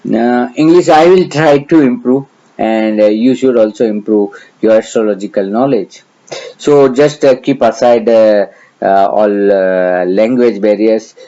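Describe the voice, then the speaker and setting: A man's voice: on the low side, a little slow, mellow, highs cut off. A middle-aged man from India with a Gujarati accent, teaching astrology in a hesitant, earnest way with many fillers. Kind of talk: lecture.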